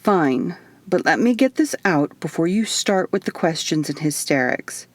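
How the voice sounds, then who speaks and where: A woman's voice: on the low side, lively intonation, unhurried, no room sound. A woman voicing a stern, matter-of-fact male alien guardian in human form for an audiobook, explaining things firmly. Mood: exasperated. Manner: firm, explanatory. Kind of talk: audiobook character dialogue.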